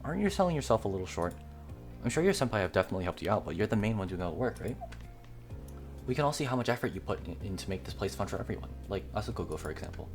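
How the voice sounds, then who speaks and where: Supportive young student lead read by a man, visual novel, casual, sincere, slightly low, fast-paced. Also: very animated, soft background music. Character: easygoing, supportive young college student protagonist.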